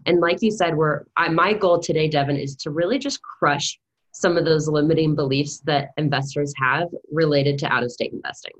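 Podcast guest: American woman, 30s, low register, conversational storyteller. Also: normal speed, rough recording. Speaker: podcast guest, real estate investor.

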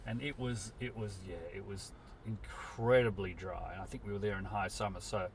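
A man's voice: lowish voice, fairly even intonation, moderate pace. A man in his sixties, born in Scotland, telling rambling stories with self-deprecating humour as an interview guest, recorded outdoors by a road. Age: 60s. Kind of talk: casual storytelling chat. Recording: distant mic with street noise.

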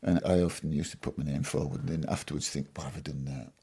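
A man in his sixties from Yorkshire, a farmer being interviewed on a podcast, telling stories in a measured, modest way with dry humour. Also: rumbling low, fairly even intonation, mellow, husky. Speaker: guest farmer. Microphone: podcast microphone.

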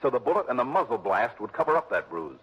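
Tough, sardonic male investigator, husky and clipped, on a muffled vintage radio broadcast. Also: medium pitch, big pitch swings, quick pace. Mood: probing. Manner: clipped, wry and pressing. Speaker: lead actor. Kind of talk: 1960s radio drama acting.

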